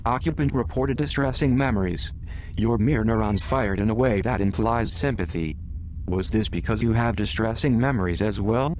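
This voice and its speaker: A man's voice: on the low side, moderate pace, darkish tone, slight background noise, close to an everyday voice. Man acting as a detached, clinical ship computer. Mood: detached, probing. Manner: formal, detached, precise wording. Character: clinical, literal-minded male ship computer, coolly probing. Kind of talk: sci-fi audio drama acting.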